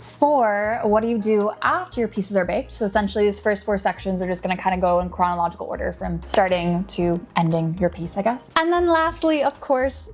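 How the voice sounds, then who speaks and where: Brief: young woman, mid-pitched, hoarse and a bit fried, friendly tutorial chatter, light music underneath. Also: darkish tone. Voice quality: upbeat and chatty, often drops to a whisper. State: hoarse with some vocal fry from allergies. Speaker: polymer clay artist and small business owner. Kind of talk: casual tutorial chat. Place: small home studio in an apartment.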